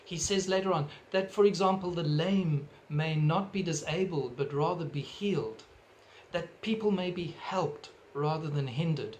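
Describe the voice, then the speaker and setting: A middle-aged man with a South African accent preaching a sermon from his living room into a webcam, earnest and measured, gesturing as he talks. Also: on the high side, slight background noise, low-quality recording. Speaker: pastor. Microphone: webcam at desk distance.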